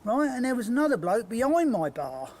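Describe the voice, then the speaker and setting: A man's voice: very high voice, very animated, brisk, darkish tone. A man recounting an incident as a witness, often punctuating his phrases with a checking word. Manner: repeatedly punctuates with "right". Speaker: hotel owner reporting a break-in. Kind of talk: spontaneous eyewitness account.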